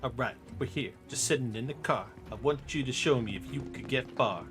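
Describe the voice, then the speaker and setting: A man's voice: mid-range voice, fairly quick. Playful man commentating over game music. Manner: playful, breaks into goofy rap-style chanting and sound syllables. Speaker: video game livestreamer narrating a speedrun. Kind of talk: casual live game commentary. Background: game music and sound effects.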